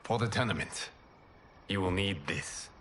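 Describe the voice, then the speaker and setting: A man playing a solemn, noble lord who presides over a martial tournament in a game, speaking formally and with measured command. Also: low voice, animated, noisy background. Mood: solemn, authoritative. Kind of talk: video game cutscene acting. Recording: loud cinematic music behind.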